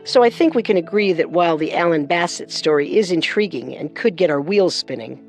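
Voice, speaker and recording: A woman co-hosting a scripted true-crime program, speaking in a serious, deliberate way. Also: fairly low pitch, animated, soft background music.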